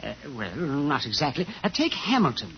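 An actor playing a fussy, evasive sales manager in a 1950s radio drama, officious and easily flustered. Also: male voice, medium pitch, huge pitch swings, moderate pace, a crowd in the background. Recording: dull, noisy 1950s radio recording.

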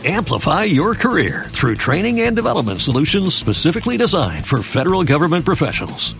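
A man delivers a scripted advertisement in a fairly high voice. It is lively in pitch and touched with fry, over faint music. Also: brisk. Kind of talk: scripted commercial read.

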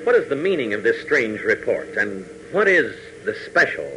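A man's voice: mid-range voice, very animated, normal speed. A man narrating a 1940s radio serial as announcer in a dramatic, suspense-building way. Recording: noisy 1940s radio recording.

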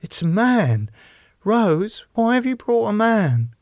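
Man voicing a timid, high-pitched anxious woman. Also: huge pitch swings, drawn-out words, no room sound, clean studio sound, exaggerated voice. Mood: alarmed. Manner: anxious, hesitant. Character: timid, devoted woman in her forties.

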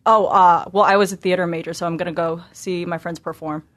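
Deep-voiced young woman, matter-of-fact. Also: steady tone, fairly quick, no room sound. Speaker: podcast guest. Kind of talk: casual chat.

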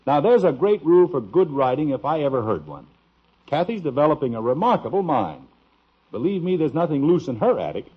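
An excitable American father from a 1950s radio comedy. His voice is fairly high and swings widely as he blusters with pride and indignation. The old recording is dull and lo-fi. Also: brisk, husky. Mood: fond, proud. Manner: blustery, animated. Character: excitable, proud suburban father, easily indignant. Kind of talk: 1950s radio sitcom acting.

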